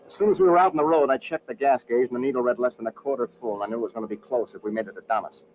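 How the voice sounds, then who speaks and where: An actor playing a brash, wisecracking American adventurer, slangy and clipped, in an old-time radio drama. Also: male voice, medium pitch, racing, soft background music, natural voice. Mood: tense, recounting. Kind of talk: old-time radio adventure acting with first-person narration. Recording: dull, hissy old radio recording.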